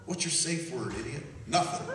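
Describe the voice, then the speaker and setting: A man's voice: a little high, lively intonation, normal speed. A man hosting a live comedy podcast, reading news stories aloud and riffing on them with profane, mocking voices. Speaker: podcast host. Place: campus stage before a live audience. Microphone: hand-held camera recording from the audience. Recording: echoey hall, camera from far off. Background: laughing crowd.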